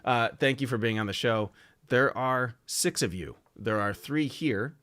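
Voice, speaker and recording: A man's voice: mid-range voice, a little slow, bright, forceful tone. A man hosting a casual video chat, talking in a rambling, hesitant way with lots of fillers. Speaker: podcast host.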